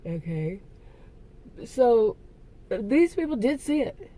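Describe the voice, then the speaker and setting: Rambling woman with a mellow voice. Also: a little high, big pitch swings, rough recording. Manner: rambling, halting, self-correcting. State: losing her voice. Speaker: vlogger. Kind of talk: casual personal vlog monologue.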